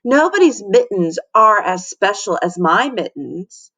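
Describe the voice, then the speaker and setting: A woman's voice: medium pitch, sing-song, measured pace, fairly bright tone, breathy edge, recorded from a distance, full character voice. A woman voicing a boastful young schoolgirl in a children's storybook, snooty, smug and dismissive. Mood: smug dismissal.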